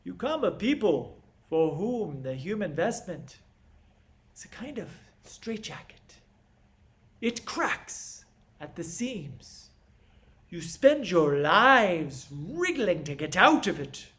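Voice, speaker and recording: A proud, stern king, acted by a man in a stage tragedy, speaking in a fairly high male voice. He is scornful and theatrical, weighing his phrases slowly with dramatic pauses. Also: very animated, a bit bright, heavily acted. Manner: theatrical, deliberate, emphatic delivery with dramatic pauses. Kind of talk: classical stage monologue.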